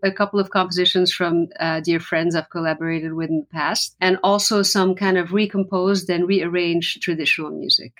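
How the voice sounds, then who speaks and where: A woman from Lebanon speaks as a musician guest in a conversational interview. Her voice sits slightly low, and she talks in an earnest, reflective way, often restarting her sentences. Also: steady tone, moderate pace, hardly any pauses, fairly bright tone.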